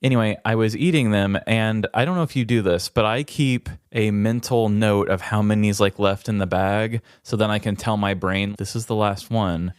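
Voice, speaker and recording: A man's voice: fairly low pitch, normal speed, hardly any pauses, clear, resonant tone, dry recording, clean studio sound. A man co-hosting a podcast in casual comedic chat, playful, self-deprecating and mock-earnest. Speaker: podcast co-host.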